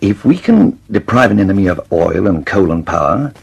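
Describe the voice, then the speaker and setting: A man's voice: low voice, sing-song, normal speed, vocal fry, darkish tone, breathy edge, exaggerated voice. An actor playing an earnest, preoccupied aircraft designer in a mid-century radio drama, musing hesitantly and then pressing his point methodically. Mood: earnest, insistent. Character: earnest, preoccupied male British aircraft designer, thoughtful and dogged.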